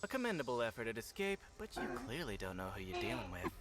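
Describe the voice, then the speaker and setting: A clinical ghost scientist in a game. His middle-pitched delivery is precise and matter-of-fact, with noticeable noise behind it. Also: huge pitch swings, quick pace. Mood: measured. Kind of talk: video game cutscene acting.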